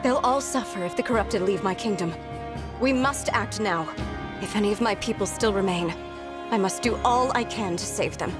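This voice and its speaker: A woman's voice: mid-range voice, normal speed, music in the background, natural voice. A woman voicing a solemn royal in a video game, grave and protective of her people. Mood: grim resolve. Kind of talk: video game cutscene voice acting.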